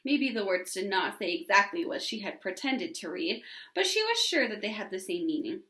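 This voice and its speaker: A woman's voice: medium pitch, very animated, moderate pace, sharp tone. A woman teacher reading a children's storybook aloud and talking to her class. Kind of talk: storybook narration. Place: indoors at home. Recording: echoey room.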